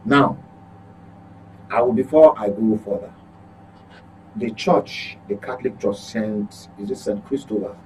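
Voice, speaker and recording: A man's voice: lowish voice, a little slow, long pauses, a little mellow, a little hiss or hum, poor audio. A Nigerian man speaking as a guest commentator in a political discussion, emphatic and repeating phrases for stress.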